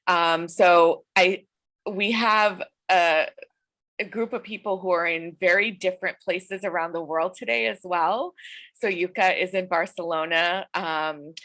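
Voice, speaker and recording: A woman's voice: on the low side, steady tone, unhurried, a bit bright. A woman moderating an online panel over a video call, talking with many fillers and restarts. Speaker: webinar moderator.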